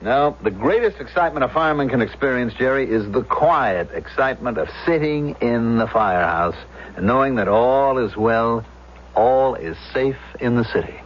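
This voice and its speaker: An actor playing an earnest, self-satisfied fire chief with a pompous official tone in a 1970s radio drama. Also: male voice, medium pitch, animated, normal speed, lightly acted. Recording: dull, noisy vintage radio recording.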